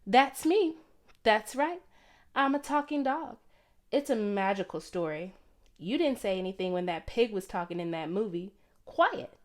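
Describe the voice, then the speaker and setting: A woman's voice: mid-range voice, expressive, a little slow, close to an everyday voice. A woman voicing a sassy, boastful male talking dog from a children's storybook, indignant and wisecracking. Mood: cheeky, boastful.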